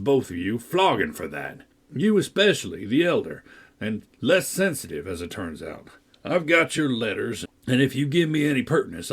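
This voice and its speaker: A man reading a novel aloud, voicing a deep-voiced, menacing villain who sneers, coaxes and threatens. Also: breathy edge. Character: menacing, brooding older man, a manipulative villain.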